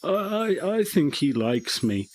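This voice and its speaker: A man playing a halting, naive tech-guru character who stammers and rambles through a comedy radio monologue. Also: on the high side, sing-song, full character voice. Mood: rambling, fond. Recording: noisy recording with a hum.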